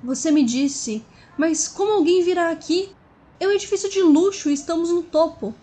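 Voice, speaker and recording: A woman's voice: extremely high pitch, normal speed, mellow. A woman acting in an audio drama as an earnest, hopeful friend who persuades and pleads. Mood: pleading, hopeful.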